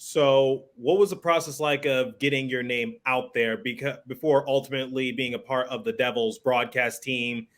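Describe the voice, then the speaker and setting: A man's voice: medium pitch, fairly even intonation, moderate pace, few pauses, fairly bright tone. A man hosting a sports podcast, introducing and interviewing in an upbeat style. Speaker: podcast host.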